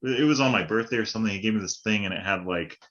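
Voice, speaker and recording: A man's voice: on the low side, rapid-fire. A man from Hawaii and Northern California chatting casually as a podcast guest, full of "like" and "right?" fillers. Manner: relaxed, rambling, many fillers like "like" and "right?". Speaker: podcast guest and fellow host. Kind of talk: casual conversation. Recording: dull lo-fi audio.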